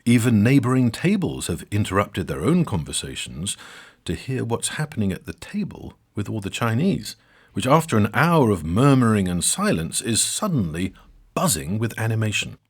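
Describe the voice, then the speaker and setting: Husky-voiced man telling a wry story. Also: on the low side, very animated. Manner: wry, theatrical storytelling with dramatic pauses. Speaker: podcast narrator. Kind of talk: scripted storytelling narration.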